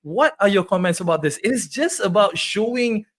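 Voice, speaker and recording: A man's voice: high-pitched, animated, moderate pace. A man from Malaysia hosting a casual interview, upbeat and chatty, leading with questions. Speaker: talk show host.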